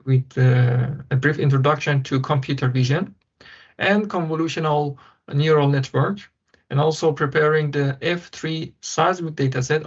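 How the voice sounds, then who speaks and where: A man moderates a webinar over a video call, his voice slightly high and gravelly. He talks haltingly, full of uhs and ums. The call audio is dull and lo-fi. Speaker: webinar host. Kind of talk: hesitant event moderation.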